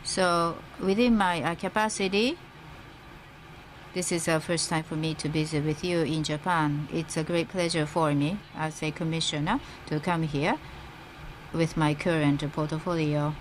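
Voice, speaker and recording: Low-voiced woman interpreting a speech. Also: fairly even intonation, rough recording. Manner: halting, phrase-by-phrase delivery. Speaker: simultaneous interpreter. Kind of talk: live interpretation of a formal speech. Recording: interpreter feed with faint background noise.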